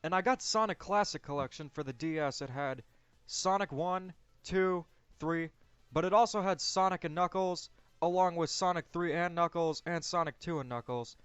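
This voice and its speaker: A man's voice: fairly high pitch, moderate pace, a bit bright, smooth, no echo, highs cut off, soft background music. A young man speaking as a discussion host in a casual, conversational style. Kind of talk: casual chat.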